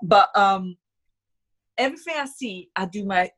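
A woman's voice: mid-range voice, a little slow, fairly bright tone. A young woman from Slovakia speaking English with a Slovak accent, chatting casually in an earnest, emphatic way and often repeating words. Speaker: guest, business owner. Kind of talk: casual personal conversation.

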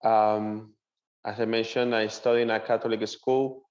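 A young man with a Venezuelan Spanish accent, a native Spanish speaker, answering interview questions as a seminarian in earnest, halting English. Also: on the low side, level tone, a little mellow, muffled recording. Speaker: seminarian guest.

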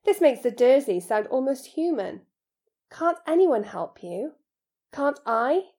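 A woman's voice: high voice, very animated, slow pace, dark tone, voice pushed up, exaggerated voice. A woman playing an earnest, anxious, lonely schoolboy with a hesitant manner in an audiobook. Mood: sympathetic, indignant.

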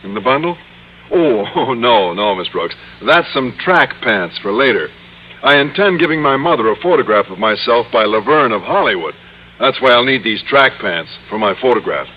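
A dull, noisy vintage radio recording. An actor plays a mild-mannered male teacher, guileless and a little hesitant, with lively swings in pitch and an earnest radio-sitcom delivery. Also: normal speed, lightly acted. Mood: earnest, friendly. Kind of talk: old-time radio sitcom acting.

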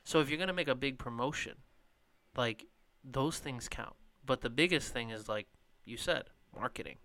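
A man's voice: medium pitch, normal speed. A man co-hosting a sports podcast, talking casually with lots of fillers and trailing off at the ends of thoughts. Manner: rambling, filler-heavy, trails off quietly. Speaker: podcast co-host.

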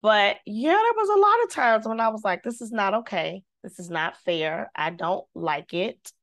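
Animated woman telling a personal story. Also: mid-range voice, normal speed. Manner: animated storyteller, acts out quotes, devout. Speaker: guest; singer. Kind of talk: personal story in casual conversation.

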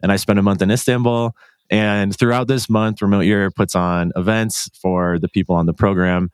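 A man's voice: fairly low pitch, fairly even intonation, moderate pace, clean tone, no room sound, studio quality. A man hosting a podcast in a casual, informal, gushing way, peppering his talk with "like". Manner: gushing, informal, frequent 'like'. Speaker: podcast host. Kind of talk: casual podcast interview chat.

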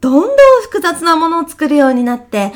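A woman's voice: high voice, fast talker, lightly acted. A woman playing a chirpy, polite but gossipy kindergarten teacher in a comedic radio drama. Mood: effusive praise. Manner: polite, effusive, animated. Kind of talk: comedic short radio drama, phone meeting.

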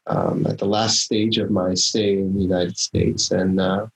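A man's voice: low-pitched, little pitch movement, a little slow, vocal fry, poor audio. Reflective man in his fifties. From Philippines. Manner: reflective, thinks aloud while telling his story. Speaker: guest, startup founder. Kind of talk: casual interview storytelling.

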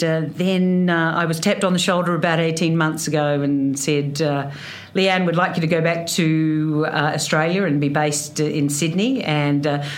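A woman in her fifties with a New Zealand accent, a hotel executive answering interview questions with frequent fillers and pauses. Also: sits low. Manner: hesitant, many fillers.

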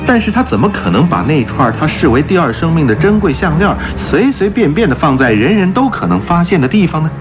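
An actor playing a confident, playful gentleman-thief detective in a radio drama, explaining his deductions theatrically. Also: a little high, very animated, a little fast, hardly any pauses. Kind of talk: Mandarin radio drama acting. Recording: dull radio recording with background music.

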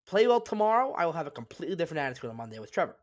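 A man's voice: fairly high pitch, big pitch swings, very fast. A man hosting a solo sports talk show, congested and sniffly, giving emphatic opinions with frequent restarts. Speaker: sports podcast host.

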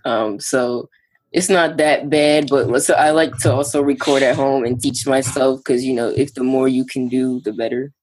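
A young New musician talks through his start in music in a loose, halting way, leaning on fillers as he thinks. His voice sits at a middle pitch for a man comes through in the casual chat. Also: steady tone, darkish tone, low-quality recording. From New York. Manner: laid-back, halting, lots of "like". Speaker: musician guest. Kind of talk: casual interview.

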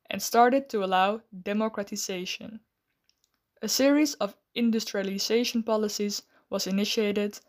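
A woman host giving a scripted, measured explanation and then asking interview questions. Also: mid-range voice.

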